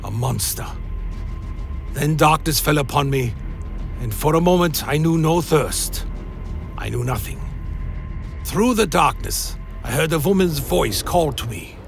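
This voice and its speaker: Man as tormented vampire narrator, higher-set gravelly voice, grave gothic storytelling, radio play, music behind. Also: lively intonation. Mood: grave, haunted. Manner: grave, literary, measured. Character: tormented male vampire recounting his dark journey. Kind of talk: dramatic first-person gothic narration.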